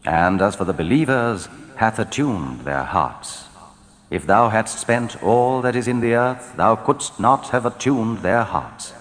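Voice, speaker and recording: A man reading translated scripture in a solemn, measured, declamatory style, letting his phrases fall at the end. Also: on the low side, lively intonation, fairly bright tone. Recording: long hall reverb.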